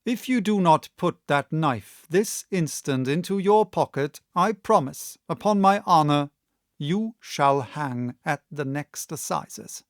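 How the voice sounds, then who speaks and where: A man voicing a calm, stern gentleman doctor and magistrate in an audiobook, speaking clearly and with composed authority. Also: on the high side, slow, no room sound, clean studio sound. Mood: calm stern warning. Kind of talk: audiobook character voice.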